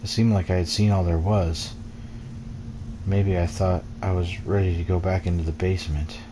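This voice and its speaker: A man with a deep voice reads a first-person game story aloud. His delivery is measured and brooding, like dramatic narration. There is clear noise and game ambience behind him. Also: steady tone. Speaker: let's play gamer reading game text aloud.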